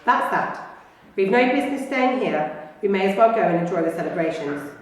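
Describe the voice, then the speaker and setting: A calm, wry old wizard headmaster, put on by a woman reading a storybook. He speaks in a measured way, with dry humor, heard from a distance in a room with long echoes. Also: medium pitch, close to an everyday voice. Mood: resigned. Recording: echoey room, far from mic.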